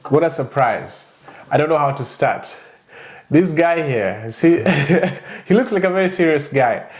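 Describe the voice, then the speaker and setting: A man's voice: a little high, darkish tone. Chatty podcast host, man. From Kenya. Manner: chatty, laughs often, many restarts. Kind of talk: casual interview chat.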